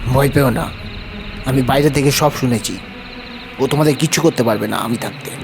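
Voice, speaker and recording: A man playing a calm, protective doctor in a horror audio story, firm and reassuring. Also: mid-range voice, brisk, background noise, close to an everyday voice.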